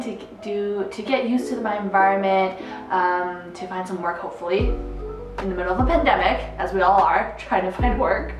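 A woman in her twenties, speaking in a measured, slightly formal way as co-host in a living room. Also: medium pitch. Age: 20s. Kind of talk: casual chat. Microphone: camera across the room. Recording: roomy echo, mic far off, music behind.

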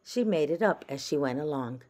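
A woman narrating a children's storybook aloud in a measured, gentle manner. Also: deep voice, expressive. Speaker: storybook reader.

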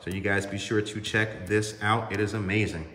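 Male morning show host, casual interviewer, forceful bright tone, reverberant room. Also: on the low side, level tone, normal speed, a little hiss or hum. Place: large wood-paneled room indoors.

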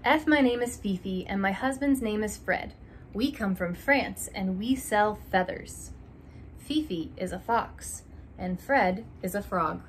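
A young woman reading a children's alphabet picture book aloud, slow and deliberate, stressing each opening letter, in a small room. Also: mid-range voice, slight background noise. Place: small room indoors by a curtained window.

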